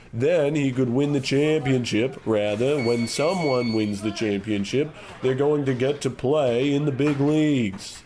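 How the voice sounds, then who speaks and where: A man playing a self-assured head goon and smooth-talking extortionist with florid patter, in a comic radio play. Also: mid-range voice, darkish tone, lightly acted. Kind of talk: comic old-time radio play acting.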